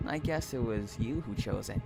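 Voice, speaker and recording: A man playing an obsessive, unhinged stalker and kidnapper in an intimate audio roleplay, taunting and swinging between soft coaxing and menace. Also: medium pitch, normal speed, creaky, over loud music, natural voice. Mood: gleeful, deranged.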